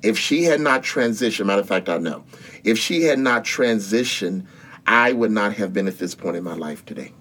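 A man's voice: lowish voice, animated, moderate pace, a bit bright. A man in his sixties speaking as a guest in an interview about faith, earnest and preacherly. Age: 60s. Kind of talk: spiritual interview talk.

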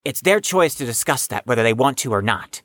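A woman's voice: rumbling low, lively intonation, brisk, no room sound. A woman playing a prim, rule-minded young patient who speaks formally and precisely in an audio drama. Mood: matter-of-fact.

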